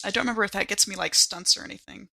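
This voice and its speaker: A woman's voice: medium pitch, racing, creaky, sharp tone. A woman chatting casually as a player on a tabletop game podcast. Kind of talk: casual chat.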